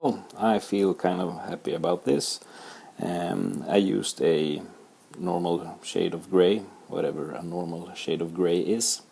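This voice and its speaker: A man's voice: low-pitched, unhurried, gravelly, mellow, husky. A man with a Swedish accent narrating a drawing tutorial, talking through each step plainly with some fillers and self-deprecating remarks. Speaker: art tutor.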